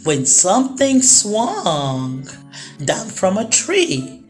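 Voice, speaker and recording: A woman's voice: mid-range voice, huge pitch swings, extremely slow. A woman narrating a children's story expressively, drawing out words for emphasis. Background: music.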